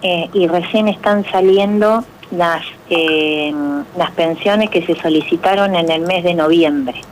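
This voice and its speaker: A middle-aged Argentine woman being interviewed on the radio, with a distinctly low voice. She speaks haltingly, searching for words, over faint background noise. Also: rough recording. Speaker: interview guest, pilates instructor.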